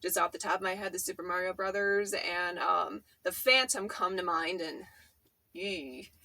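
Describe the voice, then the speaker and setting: A woman's voice: fairly low pitch, moderate pace, gravelly, pushed and bright, dry recording. A woman hosting a hobby vlog, chatting casually and enthusiastically in a gushing, informal, sweary way.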